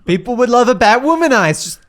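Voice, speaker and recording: A man's voice: very high voice, big pitch swings, fast. A man chatting and joking as a player in a tabletop game, playful and rambling. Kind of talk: casual joking game banter.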